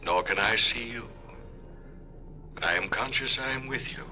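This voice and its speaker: An actor playing an earnest, formal scientist from the distant future in 1950s radio drama style. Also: male voice, medium pitch, measured pace, soft background music, lightly acted. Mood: solemn, mysterious. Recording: muffled, noisy 1950s radio recording.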